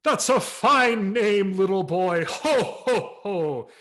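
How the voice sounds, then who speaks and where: A man voicing a booming, jolly department-store Santa with a hearty laugh. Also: animated, slow pace, fairly bright tone, exaggerated voice.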